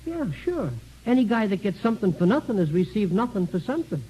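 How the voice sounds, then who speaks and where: High-voiced, folksy American janitor. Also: male voice, very animated, quick pace, warm tone, breathy edge, heavily acted, rough recording. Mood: folksy, chatty. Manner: rambling, self-deprecating, colloquial, stammering. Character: talkative, folksy, down-on-his-luck janitor and father. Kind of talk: 1950s American radio comedy-drama acting. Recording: hissy early 1950s radio recording.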